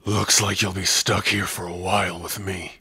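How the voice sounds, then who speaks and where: A man voicing a big, gruff orc prisoner in an ASMR roleplay, brooding and sardonic, with a husky, rough voice. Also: low-pitched, level tone, moderate pace, sharp tone, no echo, heavily acted. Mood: menacing, bitter. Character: big gruff male orc prisoner, guarded but lonely. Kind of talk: ASMR roleplay addressed to the listener.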